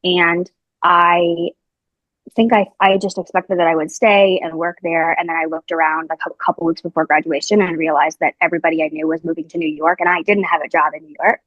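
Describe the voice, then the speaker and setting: A woman's voice: on the low side, flat delivery, fairly quick, no room sound. Chatty young woman on a dull call. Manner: chatty, lots of fillers and restarts. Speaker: reporter, podcast guest. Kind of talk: casual interview answers.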